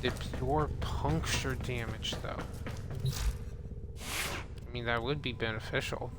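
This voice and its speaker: A man's voice: medium pitch, slow, over loud music. A man streaming a video game, thinking aloud casually and muttering to himself as he reads from the screen. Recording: steady loud background noise.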